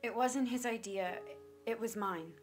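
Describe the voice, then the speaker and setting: A woman's voice: fairly high pitch, unhurried, soft background music, natural voice. A woman playing a guilt-ridden, defensive massage therapist in a stage drama, pleading and confessional. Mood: guilty, confessing. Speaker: actor in a stage play reading.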